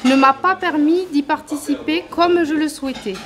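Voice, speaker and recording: A woman who is a member of the centre, giving a slow, hesitant testimony. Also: high-pitched.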